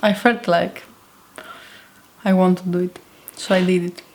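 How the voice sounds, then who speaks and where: A woman from Greece with a Greek accent, chatting casually as she cooks at home, often pausing and searching for words. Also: fairly low pitch, steady tone, a little mellow, slight background noise, low-quality recording. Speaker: home cook. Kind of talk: casual cooking video.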